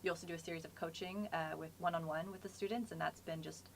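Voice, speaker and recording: Fast-talking, creaky-voiced young woman. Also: sits low, fairly even intonation, low-quality recording. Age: young adult. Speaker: MBA student panelist. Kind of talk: conversational Q&A answers. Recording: faint background noise.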